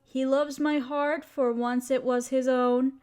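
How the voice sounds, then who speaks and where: A woman's voice: sits high, level tone, takes it slowly, a little mellow, clear, resonant tone. A woman playing a playful, affectionate wife who loves poetry, theatrical and teasing, in a romantic audio roleplay. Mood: tender reciting.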